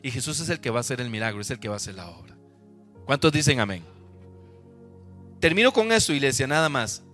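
Bright-voiced Costa Rican pastor preaching. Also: male voice, mid-range voice, sing-song, quick pace, pauses often, soft background music. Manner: emphatic preaching with repeated rhetorical questions to the congregation. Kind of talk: sermon.

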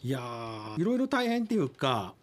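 A man's voice: medium pitch, big pitch swings, normal speed. A man in his forties answering casually as a guest in an interview, polite and modest. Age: 40s. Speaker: listener guest who acted in radio drama.

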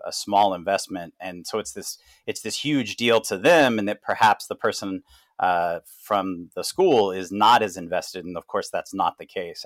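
Male podcast host, deep voice, dry close sound, unhurried filler-heavy delivery. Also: a bit bright, no room sound. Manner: frequent "uh" fillers, measured. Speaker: podcast host, founder of consulting firm. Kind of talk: casual interview.

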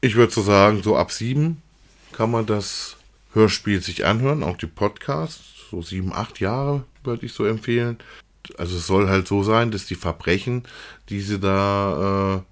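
A man's voice: lowish voice, moderate pace. A man hosting an unscripted, casual review chat, feeling his way through sentences with some repetition. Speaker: podcast co-host reviewing audio dramas.

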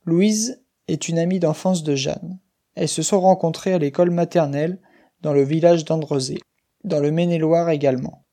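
A man in his twenties from western France, reading a scripted personal story slowly and clearly for language learners. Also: a little high, warm tone. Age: 20s. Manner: slow, clear, careful reading. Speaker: guest speaker.

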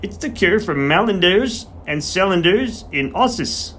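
A man's voice: high voice, expressive, measured pace, a bit bright, slight background noise, voice pushed up. A man voicing a small, elderly, beery retired smallholder, who talks in a conspiratorial, rustic way. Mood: secretive. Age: older. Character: wily beery little old male horse doctor.

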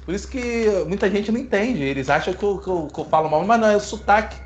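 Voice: medium pitch, animated, quick pace, highs cut off, slight background noise. A host, explaining film history in a casual, playful way to the audience. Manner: playful, self-mocking asides.

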